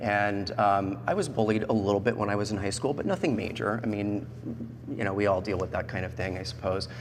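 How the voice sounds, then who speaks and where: Low-voiced American man in an echoey hall. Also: fairly quick. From central Pennsylvania, United States. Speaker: interview guest. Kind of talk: personal storytelling in a talk show interview. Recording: echoey hall, background noise.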